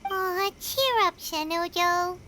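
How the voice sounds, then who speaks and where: A woman voicing a tiny, cheerful childlike fairy companion in an anime game, squeaky and chirpy. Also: slow pace, pushed and bright, a little hiss or hum, exaggerated voice. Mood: encouraging. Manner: chirpy, exclamatory.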